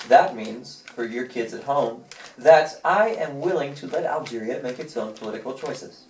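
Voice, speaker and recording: A man playing a stately French president giving a slow, declamatory address in an amateur puppet show, distant in a room with music. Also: mid-range voice, a little hiss or hum, close to an everyday voice. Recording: distant roomy mic, music.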